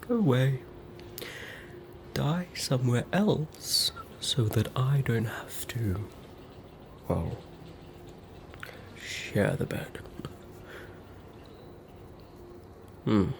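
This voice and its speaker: A woman's voice: extremely low pitch, a little mellow, a little hiss or hum, full character voice. A woman with an Australian accent playing a fussy, polite ghost in a whispered ASMR roleplay, with quaint, hesitant wording. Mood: hesitant, pleading. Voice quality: breathy whisper. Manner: quaint old-fashioned wording, hesitant, apologetic. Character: fussy, polite female ghost haunting a hospital bed. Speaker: ASMR performer.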